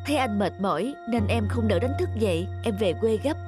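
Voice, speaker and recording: A woman voicing a gentle, devoted and patient wife in a cartoon, with a caring manner. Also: medium pitch, animated, fairly quick, over music, natural voice. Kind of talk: scripted cartoon dialogue.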